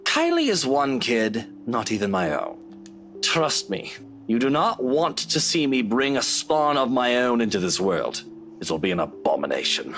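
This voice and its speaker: A man's voice: mid-range voice, big pitch swings, moderate pace, fairly bright tone, soft background music. An actor playing a vain, sardonic man in a visual novel, with dry sarcasm and bravado over self-loathing. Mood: bitter, guarded. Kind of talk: dramatic visual novel voice acting.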